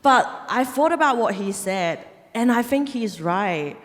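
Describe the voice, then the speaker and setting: Lively woman comedian in a reverberant club. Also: fairly high pitch, a little slow. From Hong Kong. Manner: deadpan punchlines, laughs at her own jokes. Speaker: stand-up comedian. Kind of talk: stand-up comedy set. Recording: live venue with long reverb. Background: audience laughter.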